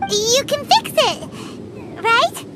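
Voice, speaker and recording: A tiny childlike fairy girl from a video game with a piercingly high, squeaky voice. Her delivery swings wildly up and down, perky and eager to help. Heavy noise sits behind her. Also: very animated, slow, exaggerated voice. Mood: reassuring. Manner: perky, exclamatory. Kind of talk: video game character voice acting.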